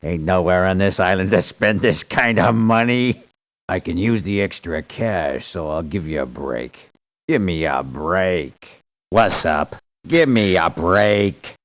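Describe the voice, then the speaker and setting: An actor playing a tough, cynical, irritable mercenary in a video game, blunt and dismissive. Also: male voice, low-pitched, expressive, slow, dry recording. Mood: grudging. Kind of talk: video game character lines.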